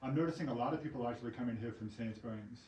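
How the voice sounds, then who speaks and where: A relaxed, chatty man with an Oklahoma accent hosts a casual live local news show. His voice sits at a middling pitch and sounds a little off-mic, with roomy echo and a faint hum behind it. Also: very fast, recorded from a distance. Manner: relaxed, chatty, laughs often.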